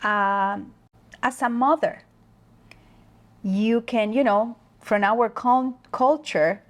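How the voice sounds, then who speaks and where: A woman from the Dominican Republic, a native Spanish speaker, talking as a guest about leadership in a slow, thoughtful way with pauses and fillers. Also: fairly high pitch. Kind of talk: interview conversation.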